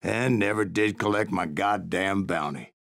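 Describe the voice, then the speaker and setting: Gravelly old Western gunslinger. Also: male voice, sits low, a little slow, noisy background. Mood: gritty storytelling. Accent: American. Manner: drawling, folksy storyteller. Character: grizzled old male Western gunslinger telling tall tales. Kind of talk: scripted in-character narration. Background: music.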